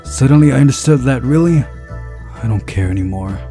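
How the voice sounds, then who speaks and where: A man reading a visual novel aloud as a narrated story, giving the characters voices, in a relaxed, unhurried way. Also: medium pitch, soft background music.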